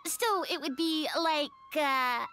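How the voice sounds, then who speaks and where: A woman's voice: high-pitched, slow pace, pushed and bright, soft background music, full character voice. A woman voicing an earnest, theatrical young woman in a game, curious and musing.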